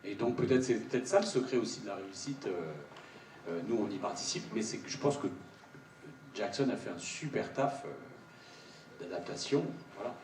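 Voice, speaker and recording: A man's voice: lowish voice, normal speed, a little mellow. Male panellist, colloquial and hesitant, roomy live sound with faint background noise. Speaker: voice actor on a panel. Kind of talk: casual spoken panel answer. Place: large cinema auditorium. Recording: echoey hall, distant mic, faint noise.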